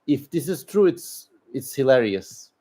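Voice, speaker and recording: A man's voice: medium pitch, very animated, measured pace, dark tone. A man with a Turkish accent hosting a casual livestream, answering viewers in an opinionated, emphatic, rambling way. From Turkey. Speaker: livestream host.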